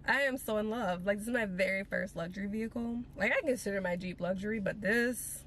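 A woman's voice: mid-range voice, brisk, fairly bright tone, a little hiss or hum. A woman vlogger talking casually and informally to her viewers, with chatty asides in a girly manner.

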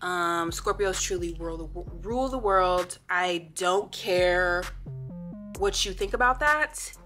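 A woman's voice: on the low side, slow, bright, forceful tone, faint music behind. A woman in her late twenties from the Pacific Northwest, chatting to camera in a sassy, playful, blunt way. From Pacific Northwest, USA. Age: 20s. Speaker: vlogger. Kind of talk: casual story-time chat to camera.

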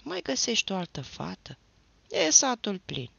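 A man reading aloud voices an older peasant father talking in a hushed, wry way, on a dull, dry recording. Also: sits high, wildly swooping pitch, gravelly, husky, dry recording, pitched above the performer's own voice, exaggerated voice.

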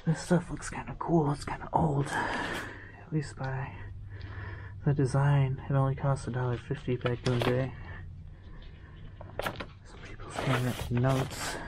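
A man giving a quiet, low-key running commentary as he walks through an abandoned school, sometimes dropping to a whisper. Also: very noisy. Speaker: urban explorer vlogger. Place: abandoned school building, large indoor rooms.

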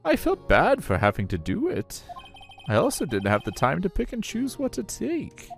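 Corrupt older police chief for a game , voiced by a man: folksy, smug, menacing, hugely animated, with fry in the voice. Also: high voice, moderate pace, voice pushed up, full character voice. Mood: smugly rueful. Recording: music behind the voice.

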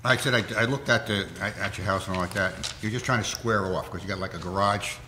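Brief: hoarse, low-voiced man, folksy meeting chair, faint room hiss. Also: quick pace. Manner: plain-spoken, folksy, many fillers. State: hoarse with a cold. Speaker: zoning board chair. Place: town hall meeting room.

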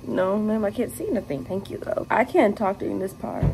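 A woman's voice: mid-range voice, lively intonation, moderate pace, creaky, darkish tone. A young American woman chatting casually and playfully in slang, as a vlogger getting ready in a hotel room. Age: young adult. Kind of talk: casual get-ready-with-me vlog chat. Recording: noisy room ambience.

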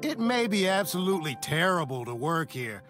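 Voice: mid-range voice, very animated, normal speed, lightly acted. An actor playing a laid-back, slangy young superhero in a cartoon, casual and exclamatory. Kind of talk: animated cartoon voice acting. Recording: music behind the voice.

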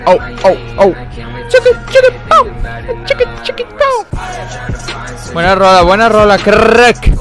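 A man's voice: sits high, wildly swooping pitch, slow pace, long pauses, over music. A young man with a Mexican accent chatting casually through a reaction video, full of slang fillers. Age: young adult. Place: small room indoors. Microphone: desk mic on a boom arm, headphones on.

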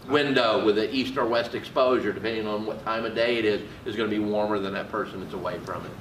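A man's voice: mid-range voice, fairly bright tone, slight background noise. A man, a trainer teaching and quizzing students in a casual classroom manner. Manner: quizzing students, seated and gesturing broadly. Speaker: HVAC trainer. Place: training room with an AC unit. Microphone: camera mic from across the room. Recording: echoey room, voice from a distance.

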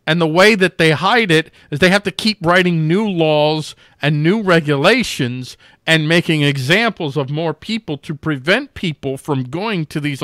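A man's voice: fairly high pitch, fairly bright tone, clear, resonant tone, no echo, studio quality. A man hosting a political talk show over a video call, making his case earnestly and restarting phrases as he thinks aloud. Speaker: show host covering news stories.

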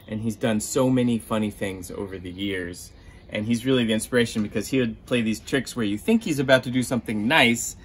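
A man in his thirties, a children's author chatting to kids in an animated storytelling style. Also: on the low side, echoey room, slight background noise.

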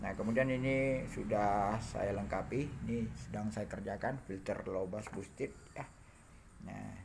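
A man narrating an informal hands-on demo, halting and muttering to himself as he works, recorded indoors on a handheld phone. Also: lowish voice, gravelly, darkish tone, a little hiss or hum. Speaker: hobbyist electronics builder demonstrating circuits. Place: indoor home workbench.

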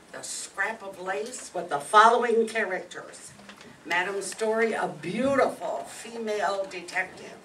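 A woman presenter reading a scripted introduction to a radio drama on stage. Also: mid-range voice. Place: meeting room stage before an audience. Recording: distant mic, faint room noise.

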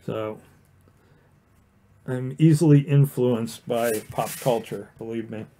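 Mellow-voiced man teaching watercolor painting. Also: medium pitch, steady tone, takes it slowly, a little hiss or hum. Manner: chatty, rambling, full of fillers and restarts; talks while painting, plain and encouraging. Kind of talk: casual livestream painting demonstration. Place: indoor studio with overhead camera on the painting desk.

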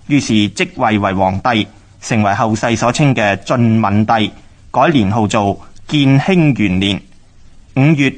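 A man reading scripted historical narration for a radio drama in a formal, storytelling manner. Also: medium pitch.

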